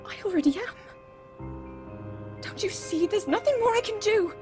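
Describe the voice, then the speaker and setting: A young princess speaks in a high voice with formal, earnest care. She sounds dutiful and burdened, in the manner of a dramatic game cutscene, with faint music behind her. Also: expressive, normal speed. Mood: pleading. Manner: formal, refined, earnest phrasing.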